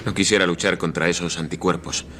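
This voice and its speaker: Fast-talking, brave adventure hero. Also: male voice, low voice, steady tone, a bit bright, a little hiss or hum. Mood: curious. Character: brave, dutiful adventurer hero in a science-fiction expedition. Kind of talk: radio theatre acting.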